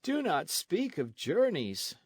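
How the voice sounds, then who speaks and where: A man voicing an idle young nobleman who talks slowly with a weary, sighing manner in an audiobook. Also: high-pitched, wildly swooping pitch, slightly rough, no room sound, voice pushed up, heavily acted. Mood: languid. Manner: slow, sighing, mildly weary.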